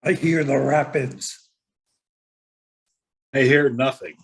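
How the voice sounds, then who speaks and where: A man playing a gruff, blunt, battle-hungry dwarf warrior in a fantasy table read. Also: medium pitch, expressive, takes it slowly, exaggerated voice. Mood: alert, eager.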